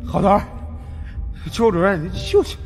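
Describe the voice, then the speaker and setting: A man's voice: high-pitched, sing-song, normal speed, a little mellow, soft background music, voice pushed up. A man voicing a fat, panicky, wheedling male companion in an audiobook, babbling and repeating himself. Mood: wheedling, whining. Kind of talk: audiobook character acting.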